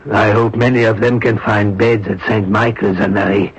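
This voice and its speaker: An actor playing a grave, kindly French churchman in a radio drama, speaking in a measured, formal way. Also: fairly low pitch, flat delivery, husky. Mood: cautious, practical. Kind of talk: radio mystery drama acting. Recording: muffled, noisy old radio recording.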